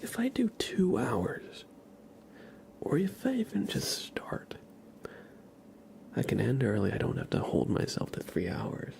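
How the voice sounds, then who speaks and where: A man doing a live ASMR stream, chatting casually with viewers in a soft, breathy whisper and rambling conversationally. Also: mid-range voice, huge pitch swings, a little slow, pauses often. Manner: rambling, conversational, addresses chat by name.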